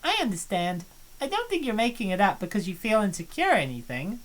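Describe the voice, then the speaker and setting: A woman voicing an earnest young college friend who gently pries, in a fan dub of a visual novel. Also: medium pitch, big pitch swings, a little fast, close to an everyday voice. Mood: concerned.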